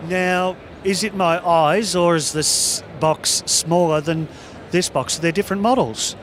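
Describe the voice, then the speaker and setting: A man's voice: high voice, measured pace, fairly bright tone, a little hiss or hum. A man casually interviewing about products on location at a trade show. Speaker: interviewer from an audio shop.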